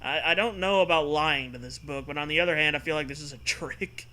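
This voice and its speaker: A man's voice: fairly high pitch, a little fast, sharp tone. A man co-hosting a comic read-aloud, playful and given to exaggerated emphasis between bits of banter. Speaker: co-host reading aloud.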